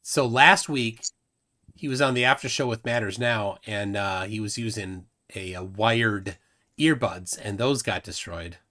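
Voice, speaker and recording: A man's voice: lowish voice, unhurried, bright, forceful tone. Chatty man hosting a livestream. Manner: chatty, reads chat comments aloud, laughs often. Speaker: livestream host. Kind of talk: casual livestream chat reading viewer comments.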